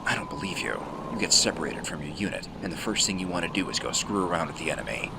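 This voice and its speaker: Radio drama gruff special-forces veteran; rock-bottom pitch, widely swinging delivery, curt and commanding. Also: male voice, animated, fast, heavy background noise. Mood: exasperated. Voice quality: gravelly. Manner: terse, commanding. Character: gruff, stern veteran male special-forces infiltrator, scolding and terse.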